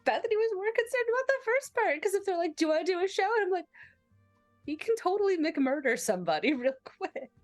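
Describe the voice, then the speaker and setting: A woman with a high, swooping voice plays a tabletop game. She slips casually between character and table talk with a playful air. Soft music plays behind her. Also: very animated, fast. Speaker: tabletop role-player.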